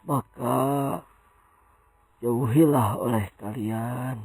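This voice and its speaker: Man acting a gravelly, slow cleric. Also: mid-range voice, a little mellow, no room sound, full character voice. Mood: solemn. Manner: solemn, declamatory. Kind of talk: sermon-like address in comic cartoon.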